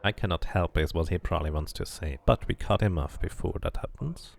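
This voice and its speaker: A man's voice: low voice, lively intonation, a little fast, creaky. A man streaming a game, switching between casual commentary and reading the story text aloud as narration.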